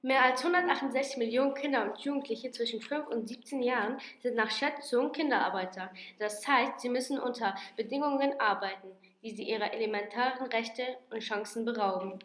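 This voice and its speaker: A woman's voice: fairly high pitch, fairly quick, hardly any pauses, a bit bright, echoey room. A teenage girl carefully reading facts aloud for a school film. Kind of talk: amateur school animation voice-over.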